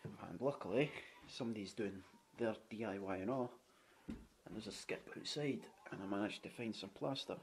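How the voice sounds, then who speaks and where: A man's voice: lowish voice, moderate pace, a little hiss or hum. Chatty man touring his renovation. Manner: chatty, frugal, swears casually, repeats "you know what I mean". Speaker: home renovator. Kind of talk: walkthrough tour narration. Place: indoors in an empty flat under renovation. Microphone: handheld camera mic.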